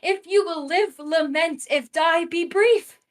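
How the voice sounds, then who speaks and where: A woman's voice: very high voice, slow. A woman playing a proud, anguished widowed queen in a Shakespearean radio play, lamenting in declamatory verse.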